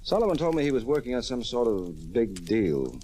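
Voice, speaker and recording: A man playing a hard-boiled, wisecracking private detective in a 1940s radio drama, brisk, sardonic and cynical. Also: mid-range voice, sing-song, warm tone, lightly acted. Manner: brisk, sardonic, tough-talking. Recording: noisy old radio recording.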